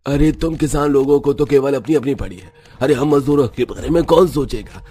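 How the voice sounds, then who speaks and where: A defensive village kiln worker played by a man in a cartoon moral tale. He speaks plainly and protestingly at a medium pitch, with music behind. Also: fairly quick, mellow, natural voice. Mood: indignant. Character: defensive, poor male brick-kiln labourer.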